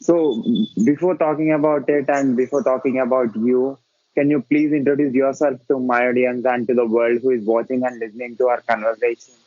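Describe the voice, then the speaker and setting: A man hosting an interview, feeling his way through each question with lots of pauses and restarts. His voice sits in a middle range. The audio is dry and a little dull, like a basic lo-fi recording. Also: mid-range voice, level tone, mellow, no echo. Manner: hesitant, many filler sounds and restarts. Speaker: podcast host. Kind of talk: casual interview.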